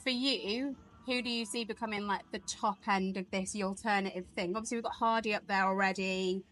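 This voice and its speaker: A woman's voice: medium pitch, fairly even intonation, fairly quick, a bit bright. A woman from England doing a casual interview, teasing her guest, laughing often and joking.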